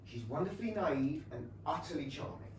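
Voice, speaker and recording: An actor playing an eager, naive young Regency gentleman in love, in a stage comedy. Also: a little high, animated, a little fast, close to an everyday voice. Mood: infatuated, flustered. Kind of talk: period comedy stage acting. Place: small London theatre. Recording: echoey theatre, distant mic, faint noise.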